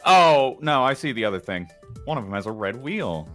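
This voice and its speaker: A woman's voice: rumbling low, very animated, moderate pace, fairly bright tone, faint music behind. A woman bantering casually as a participant in a group game dub.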